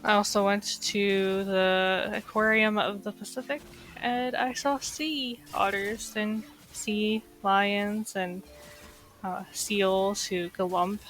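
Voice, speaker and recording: A woman's voice: mid-range voice, fairly even intonation, slow pace, fairly bright tone. A woman with a slightly nasal, stuffy voice from being sick, chatting casually over her gameplay with lots of fillers. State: recovering from illness, slightly stuffy. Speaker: gaming streamer.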